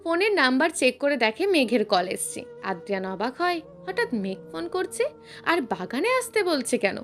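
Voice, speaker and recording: Female narrator, high-pitched and very lively, reading romantic serial fiction over music. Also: normal speed. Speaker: story narrator.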